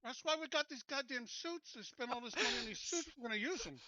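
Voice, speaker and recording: A man with a high, very bright and piercing voice talks casually as a tabletop player. He is blunt and emphatic, swinging widely in pitch. The recording is slightly dull, like lo-fi voice chat. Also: animated, fast talker. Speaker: player in tabletop roleplaying game.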